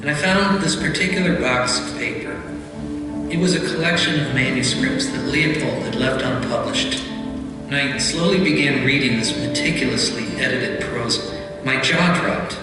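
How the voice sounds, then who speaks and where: A man narrating a documentary in a measured, scripted style, as a biographer telling a life story. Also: medium pitch. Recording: echoey hall playback from far off, music behind. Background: loud music.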